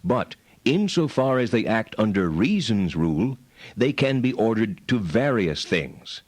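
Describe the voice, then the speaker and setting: An actor playing a learned medieval philosopher, reading formal text in a deliberate, precise, didactic way. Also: male voice, lowish voice, sing-song, husky, dry recording, exaggerated voice.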